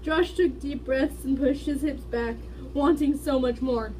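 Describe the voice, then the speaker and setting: Female reader, high-pitched and giggly, dramatic amateur storytelling with stumbles, recorded away from the mic. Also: unhurried, dark tone. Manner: giggly, stumbling over words. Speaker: fan fiction reader. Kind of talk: dramatic reading aloud of fan fiction, with casual giggly asides. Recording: distant mic with faint background noise.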